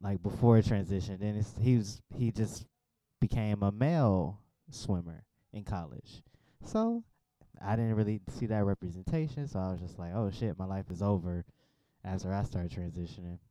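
A man with a low, warm, smooth voice talks loosely and casually, looping through fillers and quick asides. The sound is dry and close-miked. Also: lively intonation, no echo. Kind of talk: casual conversation.

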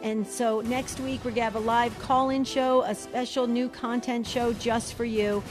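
A woman with a New Jersey accent hosting a call-in radio show, talking briskly and upbeat as she hurries through questions and announcements. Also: fairly high pitch, little pitch movement, over music.

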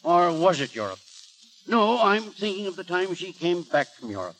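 Dithering, high-voiced absent-minded professor. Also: male voice, very animated, moderate pace, fairly bright tone, faint music behind, full character voice, rough recording. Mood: flustered, vague. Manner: dithering, hesitant, forgetful. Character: befuddled, absent-minded old professor and father. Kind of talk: 1930s radio comedy acting. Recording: vintage 1930s radio broadcast.

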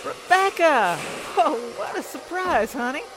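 A woman's voice: high voice, lively intonation, unhurried, noisy background. An actress playing a doting, proud middle-aged mother figure in an audio drama. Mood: delighted, proud. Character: doting, motherly older mentor woman, affectionate and proud.

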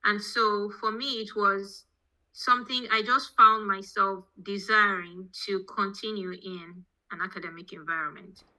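She is a Nigerian-accented woman speaking as an interview guest. Her voice is strikingly bright and forceful, with a keen edge to it. The delivery is conversational, like an academic explaining her own path. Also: medium pitch, steady tone, measured pace, low-quality recording. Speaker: podcast guest, petroleum engineering professor. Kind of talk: conversational interview answers, telling own background.

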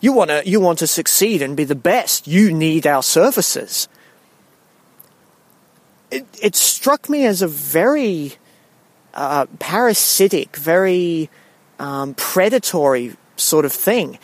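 A young man, raised in Singapore, giving a casual spoken monologue with a wry, halting manner, full of restarts and ums. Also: a little high, animated, no room sound.

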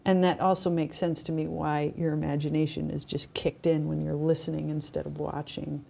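A woman's voice: low-pitched, little pitch movement, normal speed, gravelly, warm tone. A woman co-hosting a podcast in casual, joking conversation. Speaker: podcast co-host. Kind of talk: casual chat.

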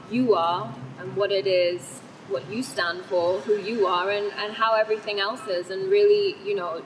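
Earnest thai-born woman talking thru an interview, lots of um and you know, faint rumble behind. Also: rough recording. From Thailand. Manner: thoughtful, earnest, many fillers and restarts. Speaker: author being interviewed. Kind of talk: reflective interview answers.

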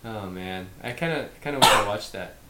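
A man's voice: lowish voice, normal speed. A man co-hosting a casual podcast chat, teasing his friend with dry jokes. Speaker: podcast co-host. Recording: roomy echo with faint noise.

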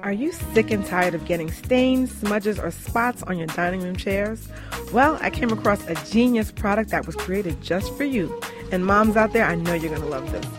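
A woman delivering a scripted radio advert as a conversational sales pitch. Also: moderate pace, over music.

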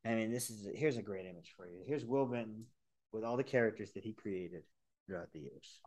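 A man's voice: lowish voice, fairly quick, darkish tone, dry recording. A man hosting a film podcast in casual chat, enthusiastic and profane, rambling with restarts. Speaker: podcast host, film reviewer.

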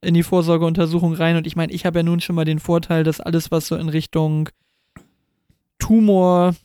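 A man in his thirties co-hosts a relaxed podcast chat in a slightly higher, mellow voice. He jokes and teases in casual slang. The recording is dry and close. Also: moderate pace, smooth, no room sound. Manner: joking, teasing, casual slang. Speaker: podcast co-host.

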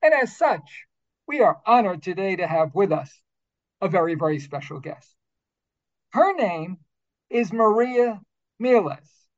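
A man's voice: sits high, sing-song, a little slow, pauses often, muffled recording. A man hosting a talk show, speaking in a formal, upbeat, carefully enunciated way. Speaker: radio show host. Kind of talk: scripted show introduction and interview.

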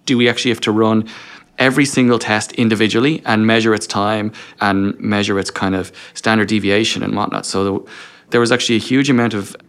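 Low-voiced man explaining in conversation. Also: steady tone, fairly quick, a bit bright. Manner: explains through self-posed questions and quoted inner dialogue. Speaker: podcast guest, engineering leader. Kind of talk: conversational interview talk.

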